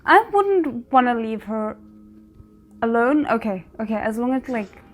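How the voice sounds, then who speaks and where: A woman with a somewhat high voice talks through a show as she watches it, thinking aloud and calling out to the characters in casual, unscripted commentary. Faint music from the show plays underneath her voice. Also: very animated, a little slow. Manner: talks back to characters on screen; thinks aloud about the plot. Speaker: reaction video host.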